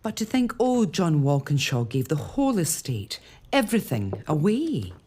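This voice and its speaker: Casting: a restless young lady of the manor, low and expressive. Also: normal speed, background noise. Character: young pregnant noblewoman, restless and uneasy. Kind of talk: period audio drama.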